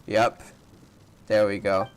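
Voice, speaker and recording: A man's voice: fairly low pitch, little pitch movement, slow pace, smooth, low-quality recording. Casual male gamer thinking aloud. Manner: thinks aloud in rambling asides. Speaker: gamer reacting to a story playthrough. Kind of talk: casual playthrough reaction commentary.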